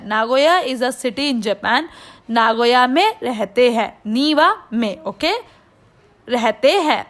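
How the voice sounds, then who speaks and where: High-pitched Indian woman teaching slowly. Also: fairly bright tone. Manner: didactic, checks understanding with questions. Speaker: Japanese language teacher. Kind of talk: online language lesson with line-by-line translation and explanation.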